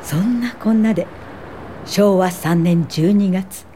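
A woman's voice: medium pitch, slow pace, noisy background, lightly acted. An older woman acting as an earnest social worker who narrates her own life in a radio drama. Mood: reflective. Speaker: narrator and lead actor.

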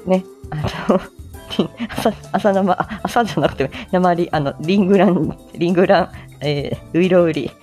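A woman hosting a casual morning stream, chatty and quick to giggle as she talks to her listeners. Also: fairly low pitch, darkish tone. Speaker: online audio show host and voice performer. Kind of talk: casual morning livestream chat.

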